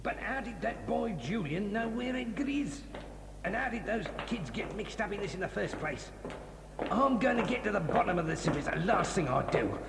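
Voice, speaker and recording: A gruff, gravelly crook from an audio drama. His voice swings up and down, sitting higher than most men's. A long reverb hangs around him. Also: lively intonation, normal speed, music in the background. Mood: scheming. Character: gruff male kidnapper, scheming and bewildered. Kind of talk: 1980s audio drama acting.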